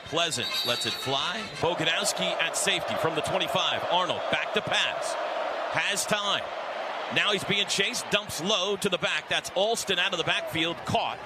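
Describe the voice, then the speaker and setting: A man's voice: sits high, moderate pace. An American man calling live football play-by-play on the radio in clipped, staccato phrases, as the announcer. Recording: radio broadcast over loud stadium noise. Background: loud stadium crowd noise.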